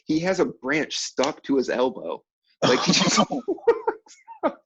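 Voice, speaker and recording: A man's voice: a little high, huge pitch swings, takes it slowly, fairly bright tone, breathy edge. A man in a casual chat, telling anecdotes in slangy, profane language and laughing easily as he goes. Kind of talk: casual chat, animated storytelling. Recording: dull lo-fi call audio.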